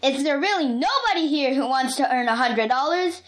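A bombastic storybook ringmaster, played by a woman, showy and quick to temper. The delivery is grandiose and very animated, stretching out words and swooping up to higher notes. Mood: grand, showy. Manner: grandiose announcing with drawn-out words. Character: bombastic male circus ringmaster, showy and easily enraged.

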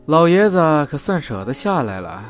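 A man's voice: fairly high pitch, sing-song, moderate pace, soft background music. A man playing a confident, teasing young police detective in an audio drama. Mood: breezy. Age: young adult.

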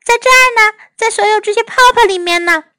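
Woman voicing an excitable high-pitched little storybook girl. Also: fast talker, no echo, clean studio sound. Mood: excited, playful. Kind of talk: children's storybook character reading.

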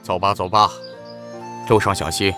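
Period-drama elder father, male actor: low register, mild, older, soft music bed. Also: steady tone, moderate pace, natural voice. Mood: mild, caring. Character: mild older father-in-law in ancient China. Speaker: voice actor in an amateur audio drama. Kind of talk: Chinese period audio drama acting.

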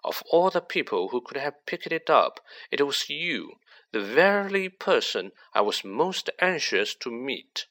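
A man voicing a cold, manipulative teenage boy sorcerer who talks softly and gloats. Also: mid-range voice, sing-song, a little slow, voice pushed up, exaggerated voice. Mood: gloating, silky. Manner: silky, soft and gloating.

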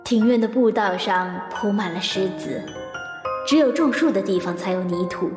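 An actress playing a polite, observant lady in an audio mystery drama, deliberate and quietly probing. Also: medium pitch, lightly acted. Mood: musing. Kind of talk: radio drama acting. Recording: music behind the voice.

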